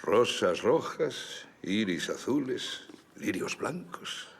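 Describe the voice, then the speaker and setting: An actor playing a prim, easily scandalised older gentleman in an audio drama, fussy and expressive in manner. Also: on the low side, slow pace, vocal fry, slight background noise, heavily acted. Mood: wary.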